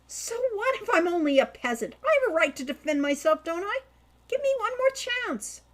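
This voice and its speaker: A woman's voice: extremely high pitch, very animated, moderate pace, pitched above the performer's own voice. A woman voicing an earnest, stubborn teenage peasant boy in an audiobook, insistent and pleading. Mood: indignant, shouting.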